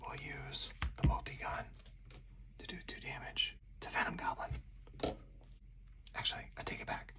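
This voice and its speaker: Hushed whispering ASMR game host. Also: normal speed, pauses often. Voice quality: soft breathy whisper. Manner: hushed, narrating each move aloud step by step. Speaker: host of a solo card game channel.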